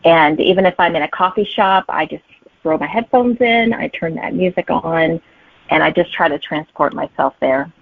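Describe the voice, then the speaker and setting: A woman's voice: low-pitched, normal speed, creaky, no room sound. A middle-aged woman guest chatting in an interview, telling stories and voicing her own thoughts as she goes. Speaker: guest, romantic suspense author. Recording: thin band-limited phone-like line.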